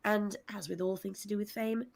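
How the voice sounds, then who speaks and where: A woman's voice: mid-range voice, fairly even intonation, fairly quick, vocal fry. A woman speaking articulately and earnestly at length, explaining characters on a discussion panel.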